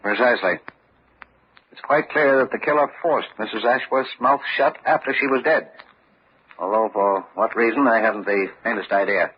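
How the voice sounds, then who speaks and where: An actor playing a formal, precise medical examiner in a vintage radio mystery, speaking in a clinical, pondering way. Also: male voice, on the low side, normal speed, close to an everyday voice. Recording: dull, hissy old radio recording.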